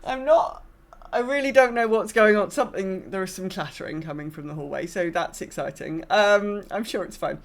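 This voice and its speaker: A woman's voice: mid-range voice, moderate pace. A woman co-hosting a gaming podcast in a casual, wry style with hesitant phrasing. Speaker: podcast co-host.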